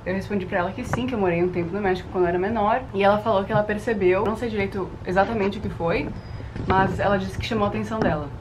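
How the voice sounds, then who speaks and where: A woman's voice: mid-range voice, racing, noisy background. Hesitant teenage Brazilian girl outdoors. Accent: southern Brazilian Portuguese. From southern Brazil. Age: teens. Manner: relaxed, a little hesitant. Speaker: host's daughter. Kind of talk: casual talk to camera. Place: outdoors by a garden hedge.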